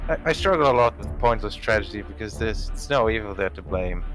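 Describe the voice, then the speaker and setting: A man's voice: lowish voice, lively intonation, fairly quick. A man reading a comedic fan comic as narrator in a wry, conversational way. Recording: music bed behind voice. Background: music.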